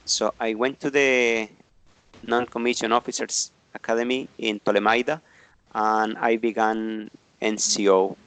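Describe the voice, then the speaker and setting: A Colombian man teaching in English, with a smooth, dry voice. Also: lowish voice, level tone, a bit bright, no echo, low-quality recording. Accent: Colombian Spanish. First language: Spanish. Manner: measured, careful, with pauses and "uh" fillers. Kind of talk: introducing himself to a class.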